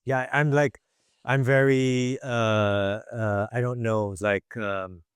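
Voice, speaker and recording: A young man from Malaysia chats loosely through an interview, his voice smooth and resonant. He fills pauses with "um" and "like" and laughs softly. The sound is close and dry. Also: mid-range voice, steady tone, dry recording. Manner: chatty, many fillers and laughs. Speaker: guest, visual artist. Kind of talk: casual interview answers.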